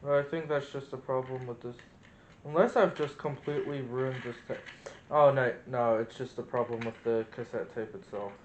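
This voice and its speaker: A man's voice: medium pitch, steady tone, normal speed, muffled recording. A man presenting a casual hands-on experiment, thinking aloud as he demonstrates. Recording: roomy echo, noticeable background noise.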